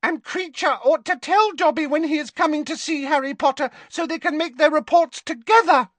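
Storybook house elf: squeaky, eager, very high and quick, dry read. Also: male voice, a bit bright, dry recording. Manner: squeaky, breathless, speaks of himself in the third person. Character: squeaky excitable male house elf, loyal and anxious.

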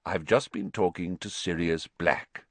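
A man voicing an elderly headmaster who speaks calmly, quietly and with measured authority. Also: deep voice, dry recording. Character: calm, wise elderly male headmaster.

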